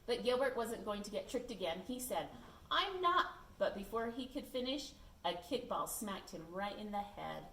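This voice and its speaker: A woman reading a children's picture book aloud in an expressive, animated way. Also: mid-range voice, moderate pace, hardly any pauses. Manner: animated, acts out the dialogue. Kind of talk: expressive storybook read-aloud. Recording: echoey room, distant mic, background noise.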